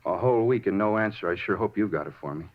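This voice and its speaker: A man's voice: lowish voice, quick pace, natural voice. An actor playing a nervous, evasive young man in a 1950s radio drama, hesitant, guarded and repeating himself. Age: young adult. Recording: dull 1950s radio recording.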